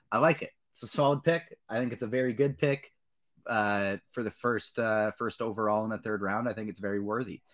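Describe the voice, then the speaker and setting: A man's voice: on the low side, fairly bright tone. A man hosting a casual panel discussion, chatting informally. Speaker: podcast host.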